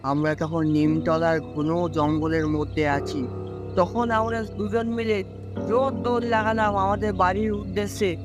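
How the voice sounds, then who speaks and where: Man as a timid, high-voiced village storyteller. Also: fairly even intonation, unhurried, music in the background. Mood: suspenseful telling. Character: timid, worried young village man telling his tale. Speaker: narrator and character. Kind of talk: cartoon ghost-story narration and acting.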